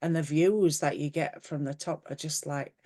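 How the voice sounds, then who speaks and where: A woman's voice: low-pitched, steady tone, normal speed, warm tone. A woman, an author chatting as a guest on a video call interview, with many fillers and restarts. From Yorkshire, England. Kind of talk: casual conversational interview.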